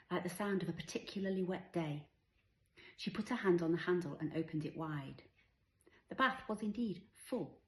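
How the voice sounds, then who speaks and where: A woman's voice: lowish voice, darkish tone, reverberant. A woman reading her own novel aloud as scripted story narration.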